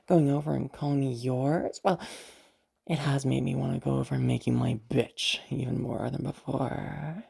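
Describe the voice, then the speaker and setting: A woman's voice: low-pitched, vocal fry, dark tone, slightly rough, pitched below the performer's own voice, heavily acted. A woman voicing a possessive, villainous woman in a roleplay audio drama, sultry, menacing and coolly controlling, with a taunting purr.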